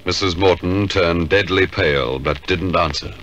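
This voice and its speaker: A man giving formal, deliberate scripted narration for a 1950s radio crime drama. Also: deep voice, a bit bright, lo-fi sound, soft background music. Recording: hissy 1950s radio recording.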